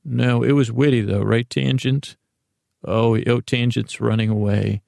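A middle-aged man hosting a podcast with a silly, halting monologue that meanders and repeats itself as it drifts into tangents. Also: mid-range voice, steady tone, creaky, a little mellow, no room sound, studio quality. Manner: silly, whimsical. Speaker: podcast host. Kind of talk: rambling comedic monologue.

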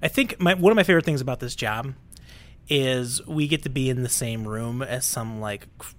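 A man's voice: medium pitch, moderate pace, fairly bright tone. A man with a mid-range voice co-hosts a casual workplace podcast. He is chatty and self-deprecating, joking about his own role between his points. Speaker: podcast co-host.

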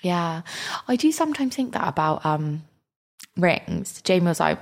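Low, gravelly-voiced chatty woman. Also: very animated, measured pace. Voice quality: nasal. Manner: chatty, self-deprecating, rambling. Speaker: podcast co-host. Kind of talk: casual chat.

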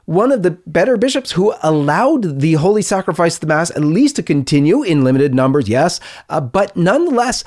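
A man hosting an interview show, speaking in a halting, deliberate and sympathetic way. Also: a little high, big pitch swings, a little mellow. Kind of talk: conversational interview.

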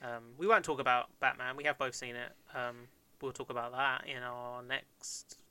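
A man's voice: mid-range voice, steady tone, moderate pace, bright, forceful tone, clean tone. A man in his twenties from Kent, co-hosting a podcast in casual, joking chat and laughing often. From Kent, England. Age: 20s. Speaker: podcast co-host.

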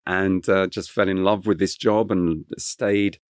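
A man's voice: deep voice, fairly even intonation, unhurried, clean tone, no room sound, clean studio sound. A middle-aged man from Kent whose first language is French, telling long stories as an interview guest with many fillers and restarts. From England (Kent). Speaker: chef guest. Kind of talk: relaxed interview storytelling.

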